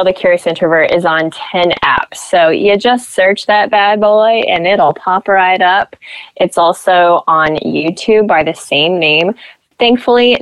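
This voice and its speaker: A young woman from Nebraska with a flat Midwestern accent, chatting as a podcast guest and acting out quoted lines in a lifted voice. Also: on the low side, smooth, dry recording, rough recording. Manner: chatty, self-deprecating, acts out quotes with a lifted voice. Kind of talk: casual interview storytelling.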